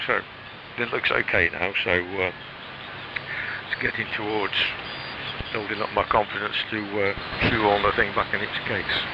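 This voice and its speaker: A gravelly male radio operator chats casually over an AM shortwave link. He talks in a halting, technical ramble. The signal is dull and very noisy. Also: lowish voice. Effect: radio transmission. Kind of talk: casual ham radio chat. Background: static noise.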